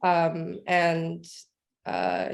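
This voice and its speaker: A young woman with a lowish voice and a gravelly fry leads the panel. She speaks in a casual, reflective way and uses a lot of "like". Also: very animated, drawn-out words. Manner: casual, thoughtful, filler "like". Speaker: moderator. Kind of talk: panel moderation.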